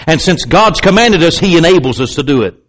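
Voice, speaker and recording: A man's voice: expressive, a little fast, husky. A man preaching a sermon with emphatic, repetitive pulpit delivery and pointed asides to the congregation. He has a slight stutter and sometimes catches on a word. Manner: slight stutter, clamps up on words; acts out doubters in a raised pitch.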